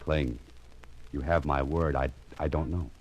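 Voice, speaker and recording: Very deep-voiced wry detective. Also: male voice, steady tone, a little slow, husky, heavily acted. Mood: wry, puzzled. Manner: sardonic, talks to himself in narrated asides. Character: wry, cool-headed private investigator, grown man. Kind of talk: 1940s radio acting. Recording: dull vintage radio recording, music behind.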